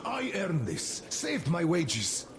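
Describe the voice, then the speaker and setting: An actor playing a humble, aggrieved old man, a grandfather in a video game, with a plaintive, halting delivery. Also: fairly high pitch, wildly swooping pitch, a little hiss or hum, exaggerated voice. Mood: pleading. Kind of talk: cinematic game dialogue acting.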